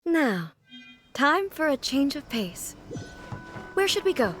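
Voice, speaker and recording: A woman playing a composed, aloof immortal ruler in a video game, speaking in a formal, measured, dignified manner. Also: high-pitched, sing-song, fairly bright tone, soft background music, heavily acted. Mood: curious.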